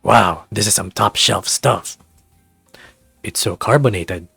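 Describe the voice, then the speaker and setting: Man doing a low-voiced, laid-back big guy. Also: takes it slowly, vocal fry, pushed and bright, husky, no echo, faint music behind, exaggerated voice. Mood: impressed. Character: big gruff casual dragon man, good-natured boyfriend. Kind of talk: visual novel character acting.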